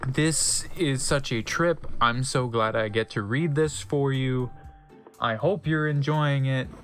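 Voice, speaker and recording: Wry mid-pitched reader over background music. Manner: wry, amused, sweary. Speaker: host and reader. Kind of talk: comic dramatic reading with casual asides.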